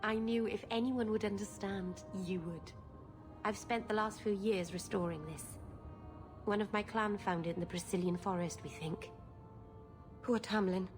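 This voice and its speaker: A woman's voice: mid-range voice, fairly even intonation, moderate pace, music in the background, close to an everyday voice. A woman voicing a naive, earnest young elf with a hesitant, stubborn manner in a video game. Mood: wistful, earnest. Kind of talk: video game dialogue acting.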